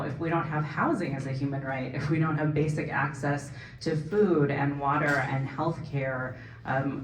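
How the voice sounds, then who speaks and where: A woman with a Californian accent answering at a panel forum, earnest, tagging points with "right?" and quick asides. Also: low-pitched, slight background noise. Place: forum event hall. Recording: echoey hall, mic at a distance.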